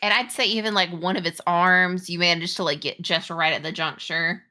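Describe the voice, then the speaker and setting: A woman game master improvising narration and rules in a halting, conversational way. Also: lowish voice, fairly even intonation, pushed and bright. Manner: halting, conversational, pieced-together descriptions. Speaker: game master of a tabletop roleplaying game.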